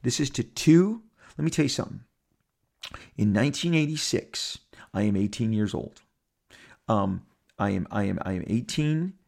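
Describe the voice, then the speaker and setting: A man's voice: lowish voice, moderate pace, creaky, pauses often. A man in his fifties hosting a podcast, telling a rambling story to his listeners with lots of restarts and quoted asides. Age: 50s. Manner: animated, rambling, repeats and restarts, quotes others in character. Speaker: podcast host. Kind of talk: casual storytelling monologue.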